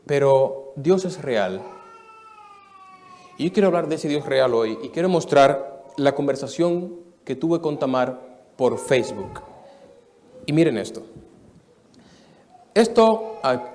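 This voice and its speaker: Dominican-born preacher guy telling a story in church, earnest, a bit high voice. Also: animated, slow, pauses often. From Dominican Republic. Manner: earnest storytelling, shifts from soft to emphatic. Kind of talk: sermon testimony.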